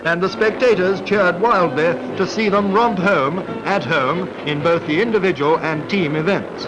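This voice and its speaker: A man's voice: high voice, moderate pace, muffled recording. A man with a Received Pronunciation British accent delivers formal, clipped, scripted sports commentary in 1940s newsreel style. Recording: vintage 1940s film soundtrack, loud music. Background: loud background music.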